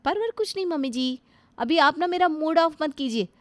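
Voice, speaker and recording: A woman voicing a rude, sharp-tongued young daughter-in-law who scolds her mother-in-law. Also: high voice, animated, normal speed, dry recording, voice pushed up. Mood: scolding. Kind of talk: Hindi family drama acting.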